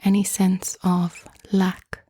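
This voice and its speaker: A woman hosting and leading a guided meditation in a soft, hushed, often half-whispered voice, with gentle and inviting phrasing. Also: on the low side, completely flat delivery, slow, husky, dry recording, clean studio sound.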